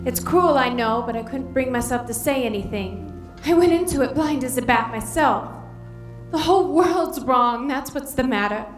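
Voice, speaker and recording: A woman's voice: high-pitched, expressive, moderate pace, soft background music. A rueful, weepy mother. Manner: musing, rueful monologue. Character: tearful middle-aged mother before her daughter's wedding. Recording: echoey vintage film soundtrack with score.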